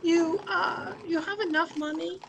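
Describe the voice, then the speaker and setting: A woman's voice: very high-pitched, steady tone, a little slow, vocal fry, fairly bright tone, full character voice. A woman playing Minnie, a fond, plainspoken older female servant in an old-time radio drama. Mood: matter-of-fact. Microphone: video call. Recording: noisy home video-call recording.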